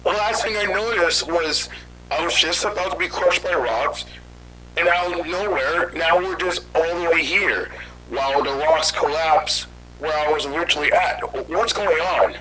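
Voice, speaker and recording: A loud crowd-like din sits behind a man acting as a bewildered male doctor in an animated fan drama. His voice is slightly high, earnest and questioning, with articulate phrasing. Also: normal speed, close to an everyday voice, poor audio.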